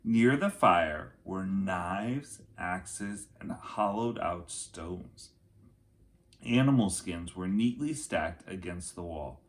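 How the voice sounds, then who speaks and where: Animated, low-voiced male storyteller. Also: slow pace. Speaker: read-aloud storyteller. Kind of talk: expressive children's chapter book narration.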